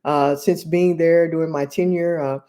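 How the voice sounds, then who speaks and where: A woman answering interview questions in an earnest, measured way. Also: low-pitched, fairly even intonation, dark tone. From Texas. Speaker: guest, athletic director.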